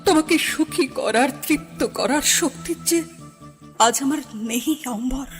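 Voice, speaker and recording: Middle-aged woman, tender and yielding, radio drama, acted by a woman; high pitch. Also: unhurried, faint music behind. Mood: wistful. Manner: soft, yielding, wistful. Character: middle-aged woman Suchetana, hesitant lover.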